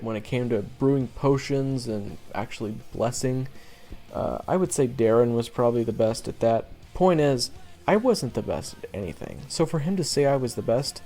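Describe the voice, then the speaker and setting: A man playing a jaded, sardonic monster hunter in his early twenties, telling his story in a casual, wry, halting way for an audio roleplay. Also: medium pitch, vocal fry, a little mellow. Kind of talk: audio roleplay, conversational first-person storytelling.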